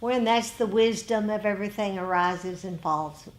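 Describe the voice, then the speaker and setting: A woman's voice: medium pitch, normal speed. A woman speaking informally as a teacher in a meditation group discussion.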